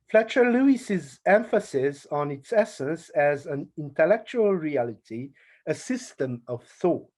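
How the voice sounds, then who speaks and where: Male academic speaker, Hungarian accent, deliberate slow pace with frequent pauses and fillers. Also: a little high, a little mellow. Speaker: conference speaker. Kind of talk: academic paper presentation.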